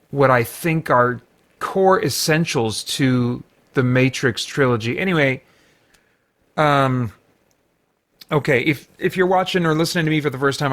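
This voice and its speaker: An American man hosting an unscripted movie review in a halting way, searching for words and letting thoughts trail off. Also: medium pitch, a bit bright. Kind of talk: unscripted, off-the-cuff film review.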